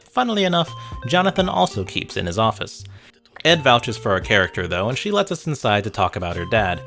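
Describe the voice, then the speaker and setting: Wry, lively low-voiced man narrating over music. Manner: wry, conversational asides to the viewer. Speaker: video essay narrator. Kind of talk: scripted narration, video game retrospective.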